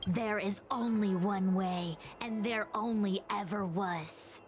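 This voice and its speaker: A woman voicing a solemn, commanding female master diviner in a video game, speaking gravely and formally. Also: medium pitch, takes it slowly, a little hiss or hum, close to an everyday voice. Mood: grave, fatalistic. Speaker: voice actor in a game. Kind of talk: dramatic game cutscene acting.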